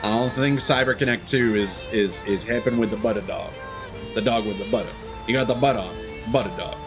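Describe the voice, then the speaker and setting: A man's voice: lowish voice, fairly quick. A man giving casual, rambling live commentary as he thinks aloud through a video game. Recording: music behind the voice. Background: game music.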